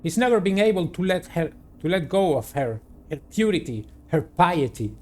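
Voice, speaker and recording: A man's voice: high voice, big pitch swings, moderate pace. Man voicing a scornful, hissing vampire woman. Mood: bitter. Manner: hissing, disdainful. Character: bitter, scornful blonde female vampire.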